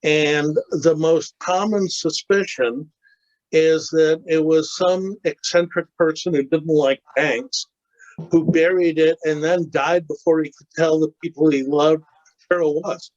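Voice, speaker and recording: A man's voice: fairly high pitch, fairly even intonation, measured pace, darkish tone, dry recording, muffled recording. A man in a church study group carefully telling a story full of facts and figures. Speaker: class member. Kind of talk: casual storytelling in discussion.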